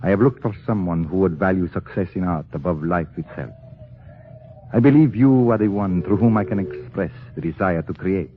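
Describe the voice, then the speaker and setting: An actor playing a grave, sinister professor with formal, measured, persuasive speech in an old radio drama. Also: male voice, sits low, lightly acted. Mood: intense, commanding. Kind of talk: 1940s radio acting. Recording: tinny, noisy old radio broadcast.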